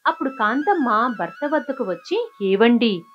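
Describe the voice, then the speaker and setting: Lively, high-pitched woman storyteller. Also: measured pace, muffled recording, music in the background. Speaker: story narrator. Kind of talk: scripted moral-story narration.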